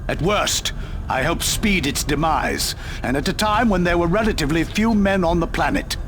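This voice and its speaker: An actor playing a ruthless, calculating military commander in a video game cutscene, cool and measured. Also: male voice, a little high, expressive, a bit bright, a little hiss or hum. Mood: cold. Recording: game cutscene with music behind.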